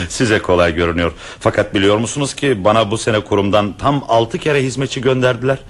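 A man's voice: fairly low pitch, fairly quick, close to an everyday voice. A middle-aged actor in a radio play voicing a courteous, formal professor who is a proud father.

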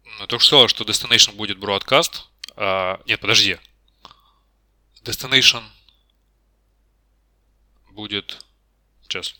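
A man with a fairly low and strikingly bright, forceful voice. He speaks as a job candidate in a technical interview, feeling his way through answers and correcting himself. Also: a little slow, pauses often, no echo. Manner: hesitant, self-correcting. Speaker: job candidate, network engineer.

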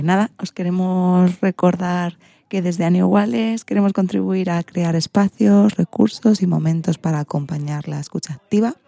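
Spanish woman from spain hosting a kids radio show, mellow gravelly voice, close dry sound. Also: deep voice, dry recording. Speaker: host of a children's radio programme. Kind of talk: radio presenting, partly scripted.

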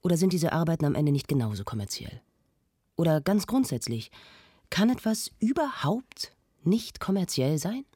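Husky-voiced formal woman radio interviewer. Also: sits low, sing-song, moderate pace, warm tone, dry recording, clean studio sound, exaggerated voice. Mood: measured, probing. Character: woman radio host, prim, insistent, probing interviewer. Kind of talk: radio play imitating a studio interview.

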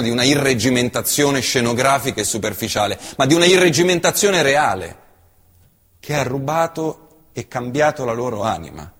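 A man performing a theatrical monologue, with impassioned declamation that swings between loud outbursts and hushed low lines. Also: slightly rough, big-room sound. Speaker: stage actor.

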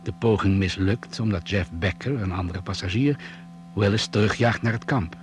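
An actor playing a composed doctor who leads crash survivors, giving a formal, precise account in a radio drama. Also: male voice, lowish voice, normal speed, a little hiss or hum, lightly acted. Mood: measured, concerned.

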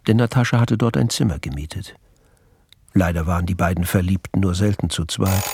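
Male radio play narrator, kinda low and creaky, slight noise behind him. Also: a little fast, darkish tone.

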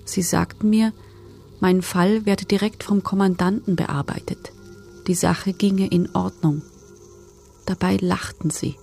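An actress playing a former female camp prisoner who gives subdued, sober, measured testimony in a documentary radio drama. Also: on the low side, steady tone, creaky, warm tone, slightly rough, faint music behind, full character voice.

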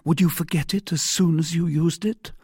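Anxious young wife, played by a male reader: raised pitch, husky, pleading, formal storybook diction. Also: fairly even intonation, moderate pace, dark tone, dry recording, studio quality. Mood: wary, earnest. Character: affrighted, anxious pretty young married woman, earnest and pleading. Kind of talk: audiobook character voice.

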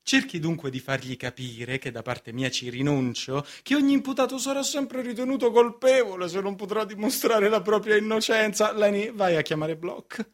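An actor playing a self-important, ailing lawyer in a radio drama, theatrical, cajoling and patronising. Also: male voice, sits high, expressive, moderate pace, few pauses. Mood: pompous. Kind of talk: radio stage drama acting in Italian.

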